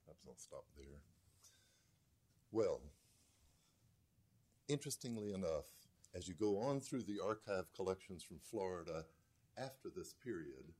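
Male lecturer, low voice, mellow dark tone, measured scholarly commentary, pauses and restarts. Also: slightly rough. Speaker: lecturer on folk song archives. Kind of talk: talk with played archive clips.

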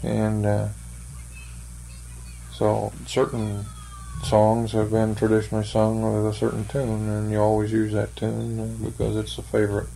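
Older man, gravelly and flat, slow hesitant talker; faint noise on the tape. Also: on the low side, poor audio. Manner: slow, hesitant, thoughtful, many fillers. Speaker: interviewee, church member. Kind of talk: oral history interview.

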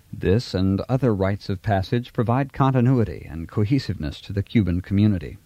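Low, mellow male documentary narrator. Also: dry recording. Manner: measured, even informative delivery.

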